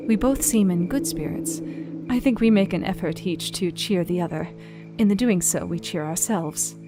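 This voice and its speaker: A woman's voice: fairly low pitch, normal speed, music in the background, close to an everyday voice. An actress playing a devout, troubled young Victorian wife, reading her journal in an earnest, reflective, formal way for a radio play. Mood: hopeful, weary. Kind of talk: dramatic audio journal reading.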